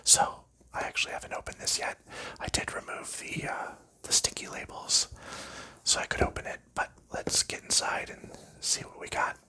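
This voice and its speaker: A man whispering softly through a calm, slow ASMR unboxing of a board game, explaining each piece as he goes. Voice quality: soft unvoiced whisper.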